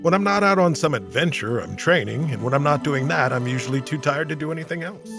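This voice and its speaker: An actor playing a weary, kind monster-slaying hero in an audio drama, wry, candid and gently reassuring. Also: male voice, on the high side, animated, quick pace, vocal fry, over music. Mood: reflective.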